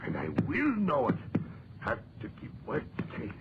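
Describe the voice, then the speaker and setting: An actor in a 1940s radio horror drama playing a frantic, obsessed amateur excavator who is insistent and repeats himself. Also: male voice, a little high, huge pitch swings, unhurried, exaggerated voice. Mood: frantic obsession. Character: obsessed, frantic male amateur excavator, defiant property owner. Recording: muffled, very noisy 1940s radio recording.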